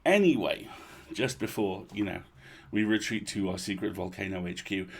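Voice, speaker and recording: A man's voice: lowish voice, normal speed, vocal fry, husky. Playful man hosting a livestream. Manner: playful, animated asides. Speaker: livestream host. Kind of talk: casual chatty livestream introduction to a story reading.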